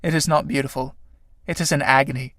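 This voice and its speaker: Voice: medium pitch, steady tone, fast, fairly bright tone, no echo, natural voice. Reader as a young poet butterfly, earnest, hesitant, stammering, dry close sound.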